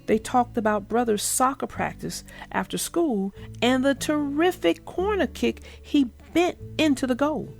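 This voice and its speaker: A woman's voice: high-pitched, measured pace, vocal fry, hardly any pauses, faint music behind. A woman narrating a children's storybook and talking to young listeners in an emphatic, instructive way. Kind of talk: read-aloud storytelling and explaining to children.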